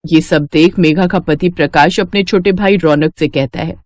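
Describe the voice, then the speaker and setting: A woman's voice: on the low side, moderate pace, a little mellow, dry recording, clean studio sound. A woman narrating a scripted cartoon story.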